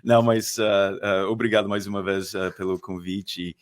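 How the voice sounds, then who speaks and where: A middle-aged man from Texas with a Texan American accent and English as his first language, chatting as a podcast guest with halting speech and frequent fillers. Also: on the low side, fairly even intonation. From Texas, USA. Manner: halting, many fillers, laughs easily. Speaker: guest, fund manager. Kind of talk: casual podcast chat.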